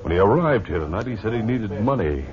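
An actor playing a callous, scheming saloon owner, sneering and domineering, in a 1950s western radio drama. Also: male voice, lowish voice, very animated, fast talker, a crowd in the background. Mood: commanding. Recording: dull, noisy old radio recording.

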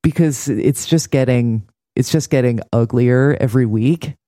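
Female podcast host, dark mellow tone, sardonic and joking, casual recap chat and announcements. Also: very deep voice, a little slow, no echo, clean studio sound.

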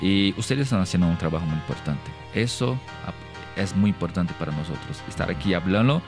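A young man from Brazil speaking Spanish with a Brazilian Portuguese accent, a guest on a radio show who pauses often to search for words. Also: low-pitched, music in the background. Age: young adult. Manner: hesitant, searching for words in a second language. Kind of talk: radio interview.

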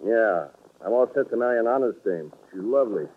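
A man's voice: fairly low pitch, lively intonation, brisk. An American actor playing a brash, shady racketeer in a 1940s radio drama, with slangy, clipped tough-guy talk. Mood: pushy, casual. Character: brash shady racketeer, cool, pushy client. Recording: tinny band-limited old radio broadcast.

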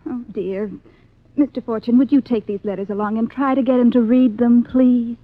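A woman acting as a timid, worried secretary in vintage radio drama. The voice is high, polite and imploring, on a thin, phone-like recording. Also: fairly even intonation, moderate pace, no room sound, natural voice. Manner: hesitant, imploring.

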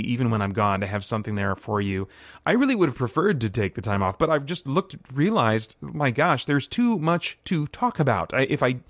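A man hosting a solo podcast, talking casually and informally straight to his listeners. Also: medium pitch. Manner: informal, addresses listeners directly. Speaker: podcast host.

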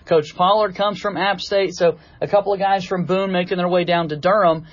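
A man's voice: high-pitched, fairly even intonation, a little fast, dry recording, lo-fi sound. A man hosting a sports podcast, speaking in a conversational broadcast style. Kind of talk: conversational interview and sponsor reads.

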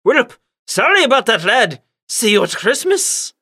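High-pitched, boisterous British uncle. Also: male voice, very animated, a little slow, bright, forceful tone, husky, full character voice. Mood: breezy. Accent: put-on British. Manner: pompous, jolly, exclamatory.

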